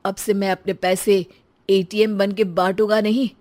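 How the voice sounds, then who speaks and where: A woman's voice: mid-range voice, flat delivery, moderate pace, darkish tone, lightly acted. A woman playing a showy, free-spending husband in a story, talking emphatically. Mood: remorseful. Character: showy, free-spending adult son and husband, easily flustered.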